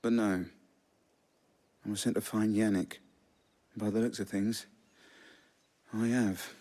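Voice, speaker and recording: An actor playing a blunt, pragmatic soldier, wry and colloquial, in a video game cutscene. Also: male voice, lowish voice, steady tone, slow, warm tone. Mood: matter-of-fact.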